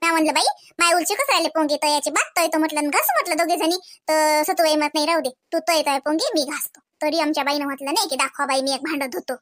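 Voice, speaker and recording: A woman's voice: high voice, fairly even intonation, fast, hardly any pauses, a bit bright, no room sound. A woman playing a gossipy, complaining cartoon village housewife who chatters colloquially in a Varhadi Marathi accent. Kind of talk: comic cartoon village dialogue.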